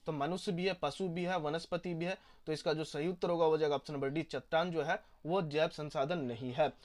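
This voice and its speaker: A man's voice: fairly high pitch, fairly even intonation, a little fast. A young man, walking students through exam questions and repeating each answer. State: hoarse. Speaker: teacher.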